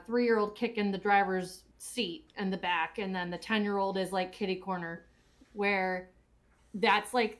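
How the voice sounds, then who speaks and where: A woman chatting in a group commentary, explaining her thoughts through drawn-out comparisons. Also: mid-range voice. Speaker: panelist in a cast and crew commentary. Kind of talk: casual group discussion.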